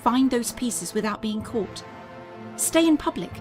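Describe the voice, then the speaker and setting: A woman playing an earnest, secretive alien mother, speaking gravely and deliberately in an audio drama. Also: on the high side, lively intonation, darkish tone, faint music behind. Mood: urgent warning.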